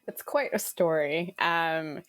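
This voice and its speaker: A woman's voice: sits low, slow, fairly bright tone, no room sound. A woman in her thirties, born in Ecuador and raised in Queens, telling her career story as an interview guest with plenty of ums and restarts. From born in Ecuador, raised in Queens, New York. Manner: earnest, frequent fillers and restarts. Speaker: guest, wine PR consultant.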